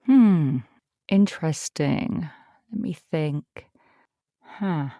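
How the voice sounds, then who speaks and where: A middle-aged English woman speaks in a fairly low voice, recorded close and dry. She talks casually and conversationally, answering as an interview guest. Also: expressive, extremely slow, warm tone, no room sound. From East Anglia, England. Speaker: podcast guest, voice actor.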